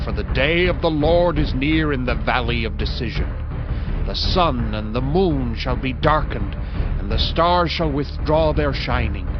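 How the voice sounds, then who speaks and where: A man narrating a dramatized scripture audiobook in a solemn, declamatory manner with measured phrasing. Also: a little high. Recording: dull audio under loud music.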